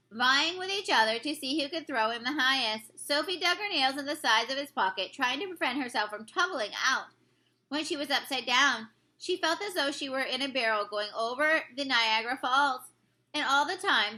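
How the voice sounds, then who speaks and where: Bright, high-pitched woman reading a story. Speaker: story reader. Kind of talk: expressive children's book read-aloud narration.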